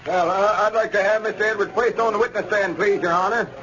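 A man's voice: high voice, fairly even intonation, quick pace, sharp tone. An actor playing an earnest male lawyer questioning witnesses in a 1930s radio comedy. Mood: earnest, formal. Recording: noisy muffled 1930s radio recording.

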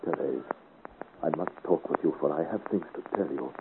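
An actor playing an earnest, gentle adventurer hero in a 1950s radio serial, speaking in a solemn, formal and careful way. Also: male voice, a bass voice, fairly quick, gravelly. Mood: gentle, probing. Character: earnest, gentle young adventurer hero of 17th-century France. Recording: scratchy, noisy, tinny 1950s radio recording.

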